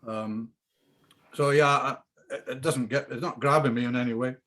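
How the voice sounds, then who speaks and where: Mid-pitched man giving plain-spoken critique. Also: fairly even intonation, normal speed, fairly bright tone. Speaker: script panellist.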